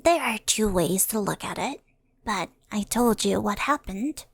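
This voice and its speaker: A woman's voice: mid-range voice, expressive, unhurried, no room sound, heavily acted. A woman voicing an earnest, secretive yokai sorceress in an ASMR roleplay, speaking in a hesitant, confessional, whispery voice. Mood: matter-of-fact. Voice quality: breathy, creaky, whispery. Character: earnest, secretive female yokai sorceress, devoted to her friend.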